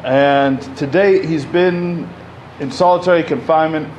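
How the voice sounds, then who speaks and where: A man's voice: fairly high pitch, unhurried, darkish tone, highs cut off, slight background noise. A man in his thirties from Egypt, giving a conversational talk at a community center podium, full of "you know" and "like". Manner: conversational, many fillers, gestures while speaking. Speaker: panel speaker. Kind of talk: public talk at a community event. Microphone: podium mic.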